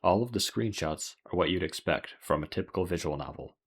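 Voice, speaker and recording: A man's voice: deep voice, expressive, a little fast, husky. A man reading scripted narration as the host of a video essay. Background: music.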